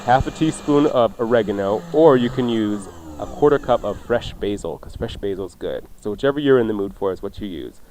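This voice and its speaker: A man's voice: lowish voice, expressive, a little fast, hardly any pauses, mellow. Jokey man hosting a cooking show, noisy recording. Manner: jokey, informal banter. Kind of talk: casual radio cooking chat.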